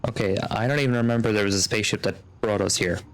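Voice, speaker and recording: A man's voice: lowish voice, fairly even intonation, rapid-fire, background noise. A man streaming a game, reacting casually with wry, muttered asides and reading dialogue aloud.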